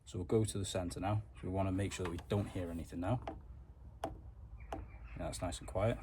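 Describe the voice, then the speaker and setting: A man's voice: sits low, fairly even intonation, brisk, noisy background. A man giving a casual, matter-of-fact hands-on car tutorial, explaining things step by step in informal words. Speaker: DIY car mechanic vlogger.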